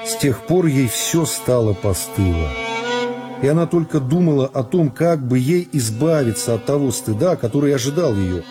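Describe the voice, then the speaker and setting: A man narrating a literary story for a radio drama in a measured, phrase-by-phrase storytelling manner. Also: medium pitch, animated. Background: music.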